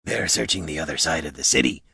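A man voicing a big, gentle troll warrior who speaks in a calm, formal, measured way. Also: extremely low pitch, gravelly, slightly rough, no room sound, voice pushed down, heavily acted. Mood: calm, modest.

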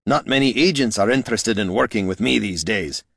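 A man voicing a gruff, blunt male warrior who grumbles in plain dialect. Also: lowish voice, brisk, a bit bright, no room sound, heavily acted. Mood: grumbling.

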